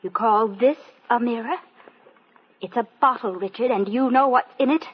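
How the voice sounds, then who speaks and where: An actress playing a bitter, vengeful young would-be star in a 1940s radio drama, intense and melodramatic, on a muffled old recording. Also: female voice, on the high side, moderate pace, a little hiss or hum, close to an everyday voice. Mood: bitter, accusing.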